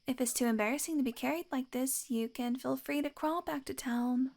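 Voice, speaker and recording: A woman's voice: high voice, a little fast, vocal fry, a little mellow. A woman playing a possessive fantasy healer in an audio roleplay, teasing and condescending, coaxing the listener with sweet menace and a domineering streak. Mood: teasing, smug.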